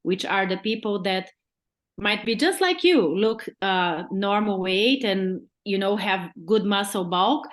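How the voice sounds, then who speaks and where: A woman doctor from Brazil with a Brazilian Portuguese accent, a guest on a podcast who explains step by step and keeps checking in with "right?". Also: mid-range voice. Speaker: guest physician.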